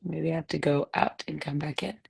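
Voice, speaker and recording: A woman hosting a live video-call interview, her voice low and gravelly with plenty of fry. She nudges her guest along with easy, encouraging prompts and soft chuckles. The sound is dry and close. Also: steady tone, brisk, no room sound. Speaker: live-stream host, interviewer. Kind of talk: casual interview chat. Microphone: online live video call.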